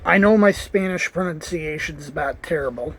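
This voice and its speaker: A man's voice: fairly high pitch, normal speed, fairly bright tone, a little hiss or hum, low-quality recording. A man talking casually to camera in an earnest, rambling way, with restarts and asides. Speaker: vlogger.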